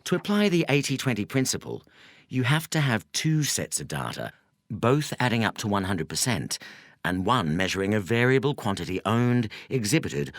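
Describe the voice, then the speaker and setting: A man reading a nonfiction audiobook in a measured, articulate way with emphatic phrasing. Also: lowish voice, no echo, clean studio sound. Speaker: audiobook narrator. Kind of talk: scripted audiobook narration.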